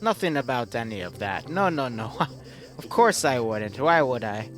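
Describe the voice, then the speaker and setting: A man's voice: medium pitch, very animated, normal speed, fairly bright tone, pitched below the performer's own voice. A man voicing a shifty village goat shepherd with a put-on Nordic-sounding accent, stammering his eager denials. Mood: evasive. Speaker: game master. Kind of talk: in-character game roleplay.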